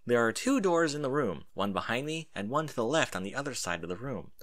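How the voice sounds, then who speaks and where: A man narrating a first-person story in an expressive reading style. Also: mid-range voice, fairly quick.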